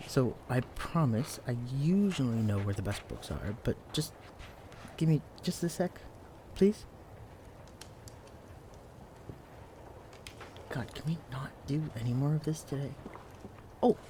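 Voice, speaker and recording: A young man playing a shy, clumsy, bookish librarian in an intimate audio roleplay, speaking in a hesitant, stammering, hushed way. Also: medium pitch, noisy background, natural voice. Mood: flustered searching. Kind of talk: intimate audio roleplay acting addressed to the listener. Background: quiet library ambience.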